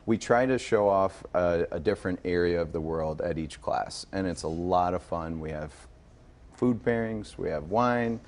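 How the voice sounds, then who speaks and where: Low voiced guy in his 30s doing a casual tv wine demo, says right? a lot. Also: moderate pace, a little mellow, slight background noise. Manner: informal explaining, often checking with "right?". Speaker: sommelier and restaurant manager, studio guest. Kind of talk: casual live TV wine demonstration. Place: TV news studio.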